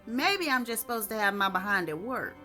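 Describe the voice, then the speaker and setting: Anxious, exasperated man played by a female narrator, bright emphatic short-story delivery. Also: a little high, big pitch swings, a little fast, soft background music. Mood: baffled. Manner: emphatic, exclaiming self-questioning. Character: anxious, exasperated man puzzling over forgotten appointment.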